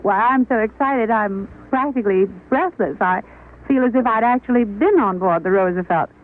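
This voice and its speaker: A middle-aged woman is interviewed on 1940s radio. She talks in measured, articulate, formal sentences. The recording is thin and tinny. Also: a little high, slight background noise. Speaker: interview guest.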